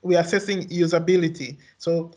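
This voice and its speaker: A young man whose first language is Swahili gives an academic research talk, halting often with fillers and restarts. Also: fairly high pitch, fairly even intonation, darkish tone. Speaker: researcher presenting.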